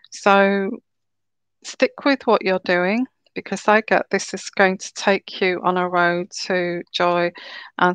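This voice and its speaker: A woman giving a live tarot reading in a slow, soothing, reassuring way, her throat a little sore and croaky. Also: medium pitch, no room sound. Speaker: tarot reader.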